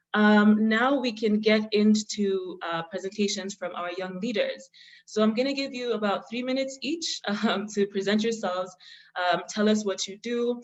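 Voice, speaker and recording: A woman hosting an online youth webinar in a semi-formal, appreciative way with hesitant phrasing, speaking over a video call. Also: mid-range voice. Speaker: moderator of an online youth panel. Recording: muffled, lo-fi online call audio.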